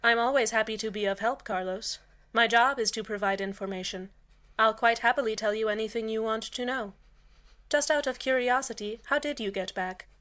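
A woman's voice: on the high side, a little fast, a bit bright, lightly acted. A woman playing a falsely cheerful, menacing community radio host in a scripted audio drama, soothing, condescending and polite. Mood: pleasant, polite. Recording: music bed under the voice.

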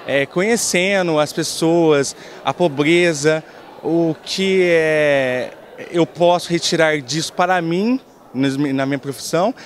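A man's voice: a little high, takes it slowly. A young man, a journalism student, answering hesitantly with restarts into a handheld mic on an outdoor court at night. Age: young adult. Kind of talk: interview answer. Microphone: handheld mic held up by a reporter.

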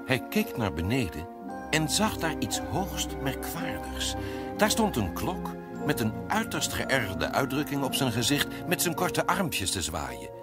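A man's voice: mid-range voice, wildly swooping pitch, measured pace, loud music behind the voice. A man narrating a scripted fairy tale as a storyteller.

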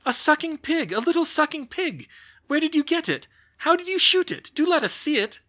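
A thrilled young boy's voice, pitched far up and loud with eager cries, as a male narrator puts him on. The sound is dull and muffled, like a lo-fi home recording. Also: sing-song, moderate pace, heavily acted. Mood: excited. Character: boy, excited, youthful.

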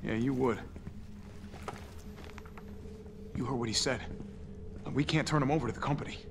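An actor playing a tough, earnest mercenary soldier in a video game, direct, urgent and a little wry. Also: male voice, mid-range voice, fast, vocal fry, noisy background, close to an everyday voice. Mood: wry, firm. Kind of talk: cinematic video game acting.

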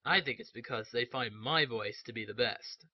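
A man's voice: medium pitch, normal speed, no room sound, close to an everyday voice. A man playing a smug, boastful adult ninja teacher bantering in a comedic parody announcement. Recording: dull, dry lo-fi home dub.

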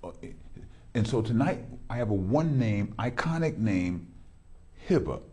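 Casting: middle-aged male pastor-host, low husky voice, rambling and repetitive, studio lapel sound. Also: animated, a little slow, darkish tone. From Los Angeles, California. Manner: rambling, repeats words, enthusiastic interjections. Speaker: pastor and talk show host. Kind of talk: casual studio interview. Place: TV studio with backdrop.

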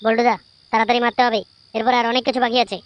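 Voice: mid-range voice, flat delivery, very fast, muffled recording, natural voice. A performer voicing a cheeky, gossipy young male sidekick in a comic cartoon dub. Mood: eager.

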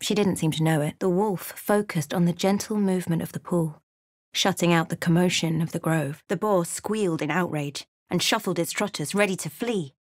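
A woman playing a wry, watchful female adventurer in a fantasy video game, with measured, dramatic storytelling delivery. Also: lowish voice, dry recording, clean studio sound, close to an everyday voice. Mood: measured, grave. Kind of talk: scripted fantasy game narration and dialogue.

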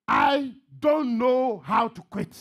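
A Ghanaian man preaches in a very high, husky voice with wide swings of pitch. He is fervent and emphatic, often shouting, and breaks his sermon into short repeated phrases aimed at the congregation. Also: lively intonation, slow. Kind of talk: fervent, call-and-response sermon with pauses for interpreter. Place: church hall, on stage before a congregation.

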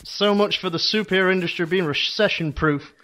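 A man's voice: high voice, normal speed, bright, forceful tone. A man playing an unimpressed young superhero sidekick in a comedy audio drama, blunt and matter-of-fact. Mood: bemused.

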